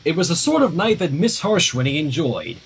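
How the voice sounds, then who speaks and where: A man's voice: a little high, huge pitch swings, a little fast, creaky, husky. A man reading a story aloud informally on a livestream, dropping in casual asides. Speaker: livestream fan fiction reader.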